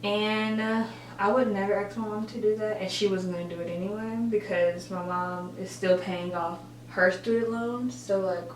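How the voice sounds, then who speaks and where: A woman's voice: medium pitch, steady tone, normal speed. Rambling young woman in a roomy echo. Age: 20s. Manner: rambling, filler-heavy, self-correcting. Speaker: college student vlogger. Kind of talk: casual get-ready-with-me chat. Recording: roomy echo, distant mic, faint hum.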